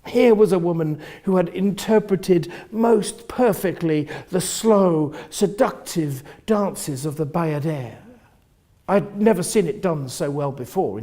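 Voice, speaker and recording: A man narrates a novel in a husky, fairly high voice. His delivery is theatrical and expressive, with wide swings in pitch and phrases that sink into a hush. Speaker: reader of a novel. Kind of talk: dramatic first-person literary narration.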